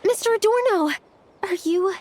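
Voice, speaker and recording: A woman's voice: very high voice, moderate pace, a little mellow, a little hiss or hum. A woman voicing an earnest, attentive young female knight in a video game, full of concern.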